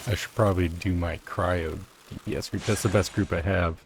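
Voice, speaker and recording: A man giving casual gameplay commentary, low-key and hesitant, thinking out loud as he plays. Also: fairly even intonation, brisk, gravelly, a little hiss or hum. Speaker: gaming streamer. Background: faint game music.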